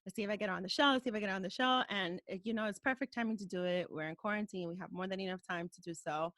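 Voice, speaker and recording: A woman's voice: medium pitch, rapid-fire, a bit bright, no room sound. A woman hosting a podcast, laid-back, joking and self-mocking in casual chat. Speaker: podcast host.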